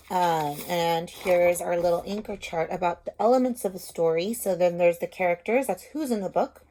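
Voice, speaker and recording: A woman's voice: medium pitch, mellow, rough recording. A woman in her thirties speaking as a teacher, explaining step by step and reading a storybook aloud to children at home. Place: small room at home.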